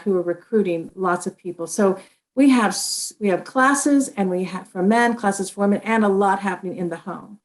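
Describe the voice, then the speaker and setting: A woman's voice: mid-range voice, darkish tone, poor audio. Earnest woman guest. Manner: earnest, with frequent restarts and filler. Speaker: interview guest, author. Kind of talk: conversational interview.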